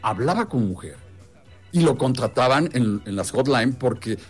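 A man's voice: medium pitch, lively intonation, normal speed, husky, soft background music. A man co-hosting a casual show, telling stories in a teasing way. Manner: storytelling, teasing. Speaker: co-host. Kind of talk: casual chat.

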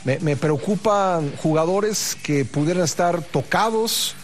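A man's voice: high-pitched, unhurried, slight background noise. A man with a Mexican accent anchoring a conversational morning news broadcast, polite and somewhat tentative in how he puts things. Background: music.

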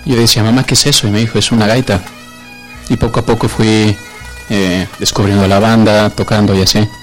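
Lower-pitched Mexican man reminiscing. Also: level tone, a little fast, soft background music. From Mexico City. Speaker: interview guest, bagpiper. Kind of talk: casual personal recollection.

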